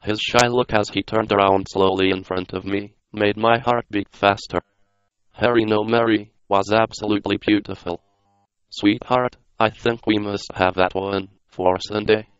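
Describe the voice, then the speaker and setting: Low, smooth synthetic male voice. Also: moderate pace, no echo, lo-fi sound. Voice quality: synthetic computer voice. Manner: flat, non-dramatic reading. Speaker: narrator. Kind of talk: scripted narration read by text-to-speech.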